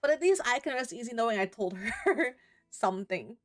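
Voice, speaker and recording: A woman giving casual, animated, giggly and sweary commentary while playing and reading a game aloud. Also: fairly high pitch, moderate pace, no room sound. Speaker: game streamer. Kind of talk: casual let's-play commentary with read-aloud.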